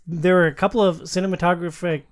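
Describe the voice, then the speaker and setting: Male podcast host, fairly high-pitched voice, casual film-discussion delivery. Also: level tone, quick pace. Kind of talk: casual movie discussion with read-out review quotes.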